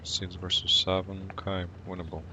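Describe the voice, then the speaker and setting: A man from Poland with a Polish accent, whose first language is Polish, calmly commenting on his game for a live stream and greeting viewers in chat. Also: low-pitched, a little hiss or hum. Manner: calm, unhurried, greets chat viewers between plays.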